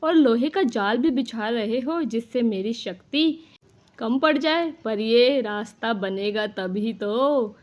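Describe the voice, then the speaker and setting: A woman voicing a vengeful male forest ghost in a cartoon horror story, gloating with drawn-out, sinister taunts. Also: a little high, animated, highs cut off, pitched below the performer's own voice. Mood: vengeful, menacing.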